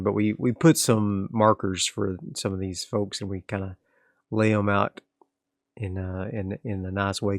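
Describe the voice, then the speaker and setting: Low gravelly man thinking aloud. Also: dry recording, clean studio sound. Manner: relaxed, rambling, frequent restarts. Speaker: solo game player and narrator. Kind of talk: casual solo tabletop play, thinking aloud.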